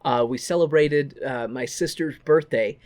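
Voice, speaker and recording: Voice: medium pitch, normal speed, darkish tone. A podcast co-host giving a casual, comedic movie recap, irreverent and sweary, with jokey asides.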